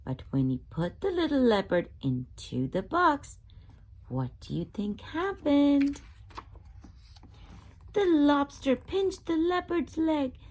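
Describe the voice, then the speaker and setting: A woman reading a storybook aloud for young children, expressive and inviting, often putting questions to her listeners. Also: high voice, slow. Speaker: children's read-aloud channel host.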